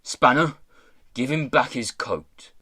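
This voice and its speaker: A man voicing a rough pirate mayor in a storybook, blustering and bullying, with a fawning politeness toward gentlemen. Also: medium pitch, takes it slowly, no room sound, clean studio sound, heavily acted. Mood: humbly fawning. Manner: working-class turns of phrase, swings from threats to humble politeness.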